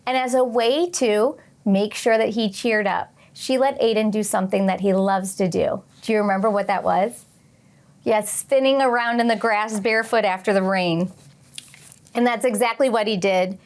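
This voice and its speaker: A woman teacher reading aloud and talking to young children, expressively, with questions and pauses for their answers. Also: mid-range voice. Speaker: teacher hosting a children's read-along. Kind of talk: read-aloud and lesson addressed to young children.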